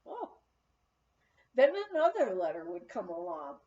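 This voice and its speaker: A woman reading an anecdotal memoir aloud as an expressive storyteller. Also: on the low side, unhurried, dull audio. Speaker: audiobook reader.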